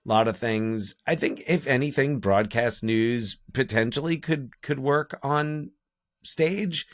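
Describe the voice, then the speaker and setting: A man co-hosting a podcast, talking casually about movies in a halting way, often restarting his thoughts. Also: mid-range voice, no echo, studio quality. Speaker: podcast co-host.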